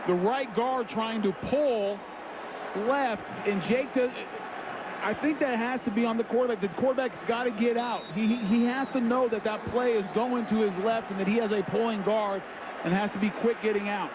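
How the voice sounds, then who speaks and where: Male color analyst, high voice, loose and talky, crowd roaring in the background. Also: fairly even intonation, moderate pace. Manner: conversational, repeats words, says "I mean" often. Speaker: color commentator. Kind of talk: sports analysis. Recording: broadcast booth over loud crowd noise.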